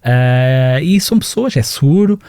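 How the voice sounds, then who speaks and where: A man's voice: mid-range voice, animated, measured pace, dark tone, clear, resonant tone, no echo, studio quality. A man from Portugal with a European Portuguese accent, hosting a business podcast in a chatty, personal way with many fillers. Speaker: podcast host.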